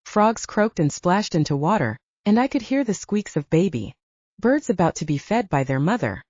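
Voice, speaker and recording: A woman reads a first-person audiobook story in a mellow, fairly low voice. Her pitch moves around a great deal as she narrates. The recording is a little dull. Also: sing-song, moderate pace, no room sound.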